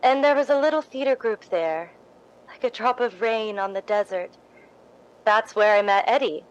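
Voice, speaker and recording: A woman's voice: a little high, normal speed, lo-fi sound, close to an everyday voice. A woman acting an earnest, humble young theater devotee in a 1950s-style radio drama, with a modest, hesitant way of speaking. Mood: wistful, humble. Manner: modest, hesitant, wistful storytelling.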